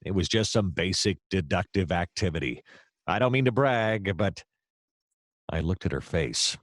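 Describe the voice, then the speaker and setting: A man's voice: sits low, animated, moderate pace, no echo, studio quality. A man playing a wry, self-pitying private detective in a noir radio play, narrating and speaking with theatrical flourish. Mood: wry, self-satisfied.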